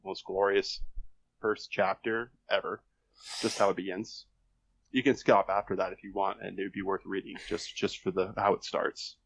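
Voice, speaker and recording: A man's voice: deep voice, level tone, normal speed, vocal fry, fairly bright tone, muffled recording. A man chatting casually as a guest on a podcast, giving recommendations.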